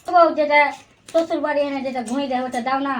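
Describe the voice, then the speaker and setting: A woman's voice: very high voice, fairly even intonation, quick pace, background noise. A woman playing an eager, bossy village uncle in a comedy skit, talking in a repetitive pleading and commanding way, with music behind. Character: eager, bossy village uncle chasing online video money.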